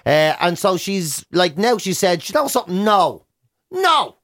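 A high-pitched Irish man with a Dublin accent swoops up and down as he rants through a funny story. He talks casually, like a radio host bantering with a mate, in a dry, close studio sound. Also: unhurried, no echo. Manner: animated, exasperated comic ranting.

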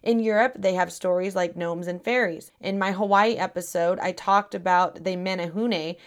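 A woman hosting a podcast, her voice a little raspy and tired, talking in a friendly, chatty and earnest way. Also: lowish voice, steady tone, moderate pace. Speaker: podcast host. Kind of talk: scripted podcast narration.

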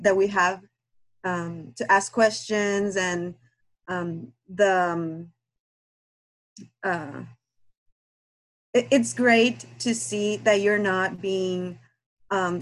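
A woman from Guatemala, a guest on a book podcast, speaking in a hesitant, earnest way with many pauses and fillers. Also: mid-range voice, low-quality recording. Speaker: guest listener and aspiring romance author. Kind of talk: casual podcast chat.

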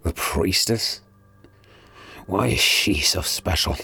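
An actor playing a weary, uneasy space pirate with a brooding manner, as in a first-person audio drama. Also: male voice, deep voice, slow, gravelly, sharp tone, husky, heavily acted. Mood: wary, doubtful. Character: weary, uneasy male space pirate narrating his ordeal. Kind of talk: audio drama acting and narration. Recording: music behind the voice.

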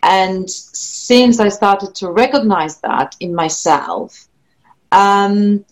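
A woman with a Polish accent, a singer-songwriter speaking as an interview guest, thoughtful, with frequent restarts and soft laughs. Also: medium pitch, very slow, poor audio. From Poland. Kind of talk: reflective interview talk.

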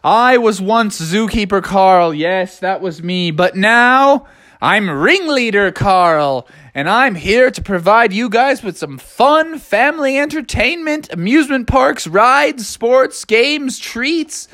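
A storyteller voicing a scheming zookeeper who turns into a theme park showman, with drawn-out theatrical exclamations. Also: medium pitch, lively intonation, fairly bright tone, no echo, studio quality, voice pushed up. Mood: showy.

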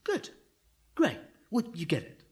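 Pushy, animated young agent. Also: male voice, on the high side, unhurried. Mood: breezy, insistent. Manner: gushing, stammering, insistent. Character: pushy, sales-hungry young male literary agent. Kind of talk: radio play acting.

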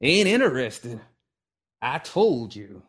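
A man doing a gruff old gunfighter drawl. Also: mid-range voice, animated, pitched above the performer's own voice. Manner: slow drawl with folksy frontier grammar. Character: grumpy old retired gunfighter, dry and menacing. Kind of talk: audiobook character voice.

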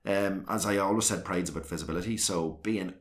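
Deep-voiced man with a South Armagh accent. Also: a little fast. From South Armagh, Northern Ireland. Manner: candid, rambling, with frequent restarts and fillers. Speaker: guest, councillor and former soccer manager. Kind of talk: earnest conversational interview.

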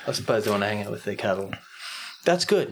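A man's voice: fairly low pitch, expressive, fast. Curious man asking questions. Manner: curious, asks many questions, laughs easily. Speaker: host. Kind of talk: casual conversational interview.

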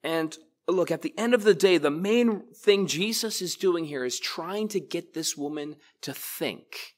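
A man's voice: fairly high pitch, expressive, a little slow. Male pastor, conversational sermon delivery, addresses congregation directly. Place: church.

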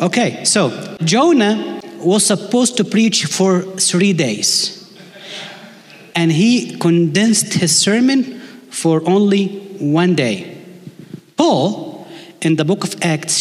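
A man with an Egyptian Arabic accent, a native Arabic speaker, preaching a sermon as a visiting pastor with a playful, joking manner. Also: fairly high pitch. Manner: playful, jokes with the congregation. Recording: long hall reverb.

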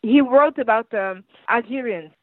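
A woman's voice: a little high, unhurried, no echo. A woman from France with a French accent, a guest explaining her views earnestly in an interview. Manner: earnest, explanatory, restarts phrases. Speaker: guest writer and activist. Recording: tinny phone line, dry.

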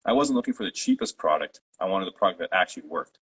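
Low husky-voiced man. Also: fast. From Canada. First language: Polish. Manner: steady, detailed storytelling. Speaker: guest, company founder. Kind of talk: interview.

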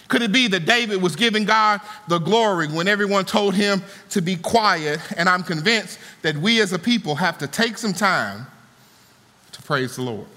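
High bright-voiced preacher in a hall. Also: male voice. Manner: dynamic, swings from soaring to low conversational asides. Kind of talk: sermon. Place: church auditorium. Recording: long hall reverb.

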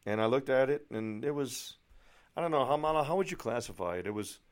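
A man's voice: medium pitch, expressive, brisk, clear, resonant tone. Rambling, exasperated middle-aged American man. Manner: rambling, exasperated, mildly profane. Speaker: vlogger. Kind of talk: casual anecdotal chat.

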